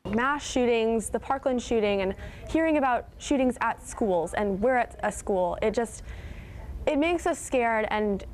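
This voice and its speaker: A woman's voice: a little high, animated, unhurried, a little hiss or hum. Teen girl student, field soundbite. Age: teens. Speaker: student interviewed at school. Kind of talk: recorded news soundbite. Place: outdoors at a school.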